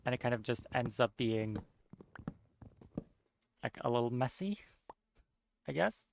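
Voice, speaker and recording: A young man with a Norwegian accent, chatting casually while streaming a game, somewhat monotone and mumbling with unclear diction. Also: medium pitch, measured pace, gravelly, slight background noise. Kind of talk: casual chat while gaming.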